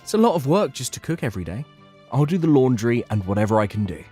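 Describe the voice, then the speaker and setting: A man's voice: medium pitch, sing-song, quick pace, close to an everyday voice. A man playing a kind, devoted young husband in scripted manga dub dialogue. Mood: warm, reassuring. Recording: background music under the voice.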